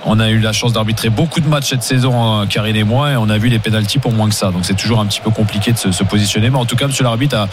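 A man who refereed many matches, analysing refereeing calls on live radio in a measured way. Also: lowish voice, background noise. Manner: fast, measured, explains calls carefully. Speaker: former referee consultant. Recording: radio broadcast with music behind.

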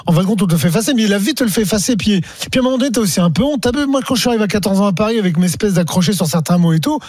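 Male panellist, high-pitched and extremely quick, casual slangy delivery. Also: lively intonation, a little mellow, dry recording, clean studio sound. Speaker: radio panellist.

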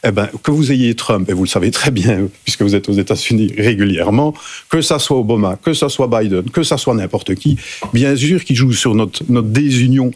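A man speaking as a panelist in a televised debate, arguing emphatically and pushing his voice up to sharp peaks. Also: medium pitch, moderate pace, breathy edge.

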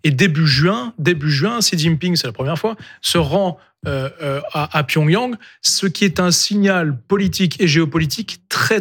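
Man, panel expert, slightly high, measured explanatory delivery. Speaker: panel guest. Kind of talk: TV panel discussion.

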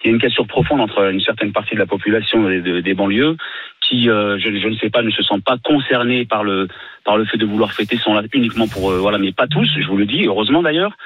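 A man's voice: fairly low pitch, breathy edge, narrow, tinny audio. A man from Morocco calling in to a radio talk show, earnest and insistent, often repeating himself. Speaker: caller.

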